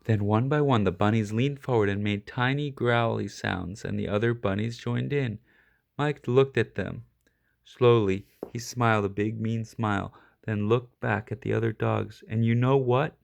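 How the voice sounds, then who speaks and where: Gravelly man reading a children's story. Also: fairly low pitch, moderate pace. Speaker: storybook reader. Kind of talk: expressive children's story read-aloud.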